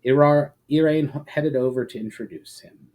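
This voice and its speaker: A man narrates a fantasy tale as a dramatic reading. His voice is mellow and medium in pitch, and his storytelling is measured and expressive. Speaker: author reading own story.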